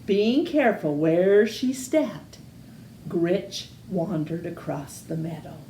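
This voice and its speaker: A woman reading a picture book aloud to children, slow and sing-song, her pitch bouncing around lively. Her voice is fairly high and rings in an echoey room. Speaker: storytime reader.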